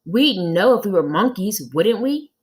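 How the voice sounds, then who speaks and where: A woman's voice: medium pitch, big pitch swings, measured pace, slightly rough. A woman voicing puzzled young schoolchildren who insistently protest in a children's storybook. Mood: exasperated.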